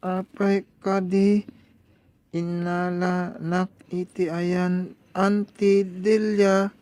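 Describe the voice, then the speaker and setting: A woman playing a shy, grateful fifteen-year-old boy who stutters and speaks haltingly in a radio drama. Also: on the low side, level tone, warm tone, no room sound. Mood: wistful, hesitant. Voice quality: heavy stutter. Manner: halting, repeats first syllables.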